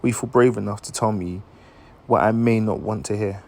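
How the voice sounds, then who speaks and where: A man performing a dramatic monologue as an anguished dying man, earnest and halting as he questions someone he loves. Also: on the low side, steady tone, creaky, darkish tone. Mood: pleading, bitter. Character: anguished dying man confessing long-hidden love, searching for meaning.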